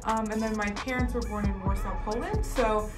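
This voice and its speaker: A woman's voice: mid-range voice, steady tone, moderate pace, over loud music. A woman from Chicago, a resident director on a ship, answering interview questions casually with many ums and likes. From Chicago, USA. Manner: chatty, with frequent ums and likes.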